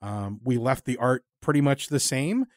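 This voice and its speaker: A man with a mid-pitched voice hosts a relaxed, conversational interview. He talks earnestly and ramblingly, often halting as he works toward his point. The recording is close and dry. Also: no echo. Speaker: podcast host and interviewer. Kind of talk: casual interview chat.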